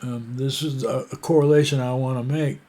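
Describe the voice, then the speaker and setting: A man's voice: medium pitch, fairly even intonation, brisk, dark tone. A man from the United States giving a casual, rambling opinion monologue, hesitant and full of fillers and restarts.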